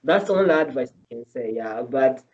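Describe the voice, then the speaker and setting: A man's voice: medium pitch, expressive, a little fast. A man answering interview questions in English, his second language, earnest and repeating himself as he explains. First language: not English. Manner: earnest, repeats and restarts phrases. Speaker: medical student guest. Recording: dull lo-fi audio.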